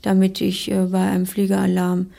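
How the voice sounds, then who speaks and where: Older woman, creaky dark voice. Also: mid-range voice, monotone, moderate pace. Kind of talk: personal recollection.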